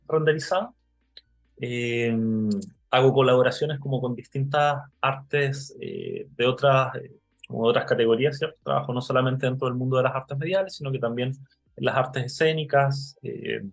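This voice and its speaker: A young Chilean man speaks at a medium pitch into a computer mic on a video call, pausing often with fillers and restarting his sentences. Soft music plays faintly behind him as he presents his work to an online audience. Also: gravelly. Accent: Chilean Spanish. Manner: hesitant, many fillers and restarts. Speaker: artist giving an online talk.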